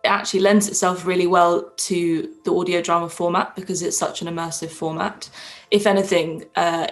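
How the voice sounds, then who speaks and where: Enthusiastic, lower-pitched woman with creaky edges. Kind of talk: interview talk.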